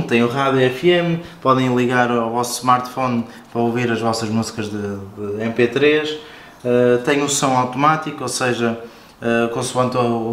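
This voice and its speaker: A man with a European Portuguese accent gives a casual, informal, explanatory product review and walkthrough. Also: mid-range voice. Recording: roomy echo with music behind. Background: background music.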